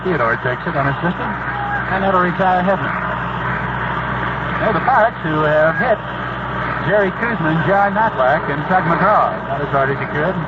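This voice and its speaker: Baseball announcer on dull, noisy old radio. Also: male voice, a little high. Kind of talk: live baseball radio commentary. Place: stadium broadcast booth.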